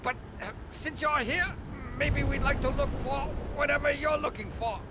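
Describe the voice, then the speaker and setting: A man's voice: very high-pitched, moderate pace, background noise. An actor playing a frightened, submissive male soldier in a video game, nervous, hesitant and pleading. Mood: scared, pleading.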